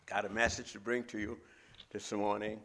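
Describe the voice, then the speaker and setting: A middle-aged man with a Bahamian accent, preaching a sermon as a visiting preacher in a measured, emphatic way. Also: on the low side, flat delivery, a bit bright.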